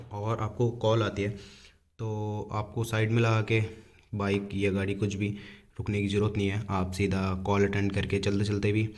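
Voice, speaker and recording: A man giving a casual hands-on product demonstration in an informal, step-by-step explanatory manner. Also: lowish voice. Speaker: vlogger reviewing a product.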